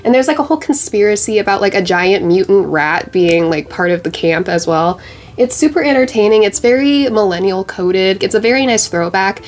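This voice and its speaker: A woman's voice: medium pitch, expressive, fairly quick, soft background music. A young woman with a congested, stuffy voice from a cold, chatting casually as a beauty vlogger while doing her makeup, full of bubbly "like" fillers. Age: young adult.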